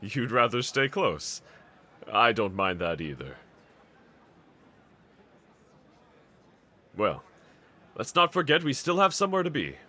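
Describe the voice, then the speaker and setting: A man playing a brave, tender young swordsman in an anime audio roleplay, speaking gently and earnestly to a companion in formal phrasing. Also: mid-range voice, sing-song, normal speed. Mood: bright, encouraging.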